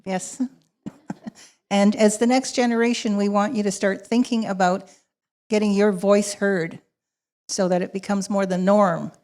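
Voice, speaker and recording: A woman's voice: medium pitch, fairly even intonation, unhurried, pauses often, darkish tone. Woman moderating a panel. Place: hotel ballroom stage. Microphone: handheld mic.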